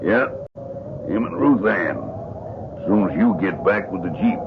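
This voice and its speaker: On a dull, hissing old radio recording, a hulking lumberjack brawler. The voice is low and gravelly, with a rustic drawl that is sly and menacing. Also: male voice, animated, unhurried. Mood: sly, casual. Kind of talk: old-time radio drama acting.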